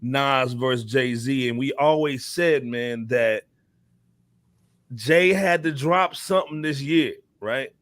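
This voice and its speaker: A man's voice: medium pitch, slow, fairly bright tone, no echo. An American man hosting a casual hip hop talk show, speaking in loose slang and often tagging phrases with "man". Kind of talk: casual panel chat about hip hop.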